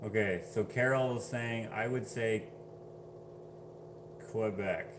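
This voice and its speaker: A man's voice: fairly low pitch, takes it slowly, background noise. A man casually chatting on a livestream, reading viewer comments aloud and laughing often. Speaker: livestream host.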